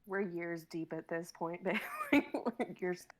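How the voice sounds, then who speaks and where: A woman's voice: fairly low pitch, takes it slowly, darkish tone, slight background noise. A woman in her twenties rambling casually and comically on a livestream, self-mocking and a little dramatic. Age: 20s. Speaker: livestream performer, regular of the show.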